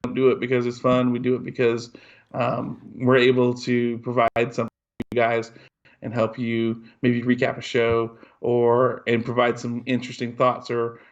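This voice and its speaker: A man's voice: medium pitch, monotone, normal speed, highs cut off. A man co-hosting a podcast, talking in an earnest, rambling way with lots of ums and restarts. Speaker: podcast co-host. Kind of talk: casual podcast chat.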